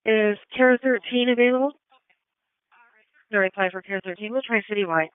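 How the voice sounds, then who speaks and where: Over a thin, phone-like police radio channel comes a woman dispatcher with a clear voice. She talks in clipped, procedural phrases, and the sound is dry with no room around it. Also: mid-range voice, moderate pace, dry recording. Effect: police scanner radio. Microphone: dispatch radio heard over a scanner.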